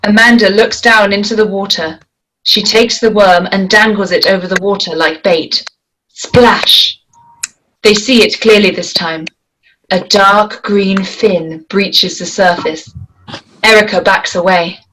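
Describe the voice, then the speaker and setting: A woman serves as narrator, reading out scene descriptions from a script. Her voice sits at a middle pitch. There is faint noise in the recording. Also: steady tone, measured pace, fairly bright tone, low-quality recording. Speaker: narrator reading stage directions.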